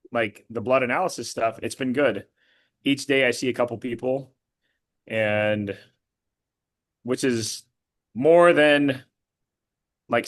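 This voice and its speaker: Man, hoarse and a bit worn out, casual rambling interviewee, mid-range pitch. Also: a bit bright. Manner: rambling, many fillers and restarts. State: voice partly lost, a bit hoarse. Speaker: blood analysis practitioner and girls flag football coach.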